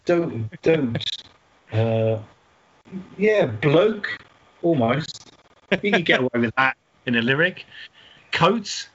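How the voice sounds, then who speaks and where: A man's voice: mid-range voice, animated, takes it slowly, pauses often, a bit bright, lo-fi sound. A man co-hosting a podcast, playful and hammy, in casual comic chat and mock-dramatic reading. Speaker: podcast co-host.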